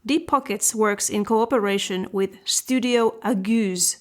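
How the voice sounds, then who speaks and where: A woman with a Finnish accent hosts a podcast from her house, talking in a measured, earnest way with a light conversational touch. Her medium-pitched voice carries a noticeable room echo. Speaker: podcast host. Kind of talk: podcast intro and interview. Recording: roomy echo.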